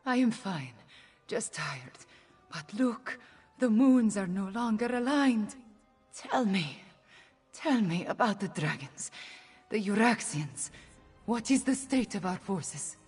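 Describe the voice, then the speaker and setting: Solemn queen, husky and slow. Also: medium pitch, animated, a little mellow, faint music behind, exaggerated voice. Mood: weary, grateful. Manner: solemn, measured. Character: weary, earnest young queen and ritual mage.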